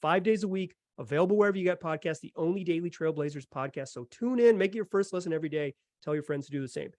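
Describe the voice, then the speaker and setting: A man's voice: a little high, quick pace, darkish tone, dry recording. A man hosting a podcast, chatting casually and enthusiastically about sports with emphatic delivery. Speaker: podcast host.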